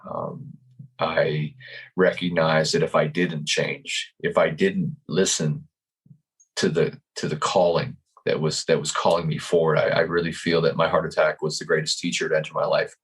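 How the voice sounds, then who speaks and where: A man in his fifties, a life coach, giving calm and earnest interview answers. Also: extremely low pitch, breathy edge. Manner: calm, earnest, speaks slowly in thought.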